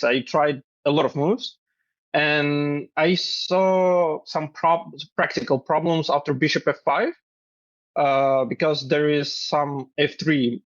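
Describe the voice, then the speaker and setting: A man's voice: on the high side, no room sound, lo-fi sound. Hesitant young man from Belarus. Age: 20s. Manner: hesitant, many fillers, laughs lightly. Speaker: chess grandmaster and coach. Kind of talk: interview answers.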